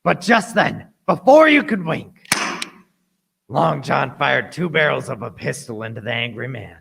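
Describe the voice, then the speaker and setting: A man's voice: medium pitch, sing-song, measured pace, gravelly, noisy background, exaggerated voice. A man playing an earnest adventurer who narrates his tale in a radio play with dramatic storytelling. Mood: dramatic, tense. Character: earnest grown Jim Hawkins recalling his boyhood adventure.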